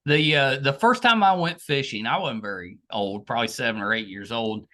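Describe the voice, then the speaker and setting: A man's voice: medium pitch, a little fast, pushed and bright. A man hosting a casual interview, with folksy, easygoing conversational phrasing. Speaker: podcast interviewer, host.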